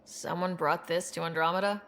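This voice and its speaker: A composed young leader of a space expedition in a video game. Her voice sits a little low with plenty of fry, and she speaks in a thoughtful, measured, gently probing way. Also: fairly even intonation. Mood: curious. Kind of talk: video game cutscene dialogue.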